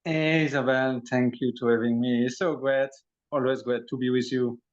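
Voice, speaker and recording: A man in his forties from Belgium with a French accent, a podcast guest and energy healer, talking in a halting way with many pauses and fillers. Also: mid-range voice, fairly even intonation. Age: 40s. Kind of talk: casual interview talk. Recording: dull lo-fi audio.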